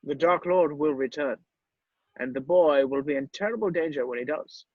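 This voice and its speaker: A man playing a grave, shrewd old wizard headmaster in a screenplay table read, speaking in a measured, deliberate way. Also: fairly high pitch, no room sound, phone-quality sound, lightly acted. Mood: solemn.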